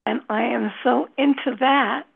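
A woman in a book discussion group speaks slowly and hesitantly, trailing off between thoughts. Her voice is gravelly and a touch husky, and it sits a little high. She comes through a thin, phone-like line. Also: fairly even intonation. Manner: slow, hesitant, many pauses and fillers. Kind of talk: casual discussion.

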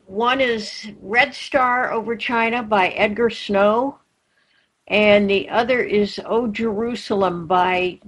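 A woman recommending books in a group discussion, deliberate and pausing between phrases. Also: medium pitch, rough recording.

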